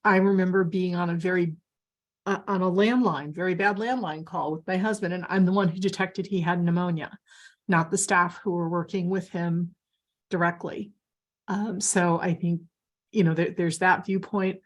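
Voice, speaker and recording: A woman's voice: lowish voice, fairly even intonation, moderate pace, lo-fi sound. A woman whose husband was in care, speaking earnestly in an online meeting and raising pointed questions. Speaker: family caregiver. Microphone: video call.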